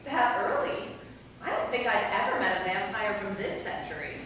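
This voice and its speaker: Woman playing a bright, chatty vampire, echoey hall. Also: mid-range voice, moderate pace, close to an everyday voice. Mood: friendly, curious. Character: warm, chatty centuries-old female vampire from Boston. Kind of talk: staged play reading. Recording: echoey hall, distant mic, faint noise.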